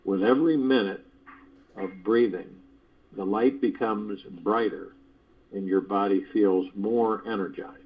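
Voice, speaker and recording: Slow, husky man leading guided meditation. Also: lowish voice. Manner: measured, deliberate phrasing with pauses. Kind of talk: guided meditation, spiritual motivational talk. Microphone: phone conference call. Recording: dull lo-fi conference call audio.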